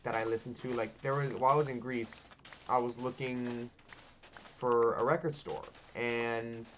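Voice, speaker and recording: A man's voice: medium pitch, a little hiss or hum. Chatty young guy rambling. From Texas. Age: 20s. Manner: loose and chatty, frequent ums and likes, casual swearing. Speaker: gaming channel host. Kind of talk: casual rambling chat over gameplay.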